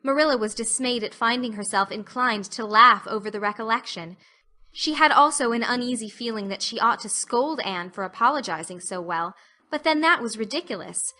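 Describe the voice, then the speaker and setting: A woman's voice: fairly high pitch, moderate pace, sharp tone. A woman reading scripted audiobook narration of a classic story.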